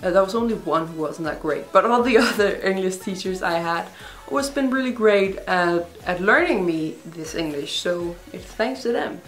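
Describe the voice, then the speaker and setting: A woman's voice: fairly low pitch, animated, moderate pace. A young woman from Denmark, a native Danish speaker with a Danish accent, answering viewer questions in a chatty, rambling, self-deprecating vlog. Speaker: vlogger. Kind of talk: casual Q&A vlog. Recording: roomy echo, faint music behind.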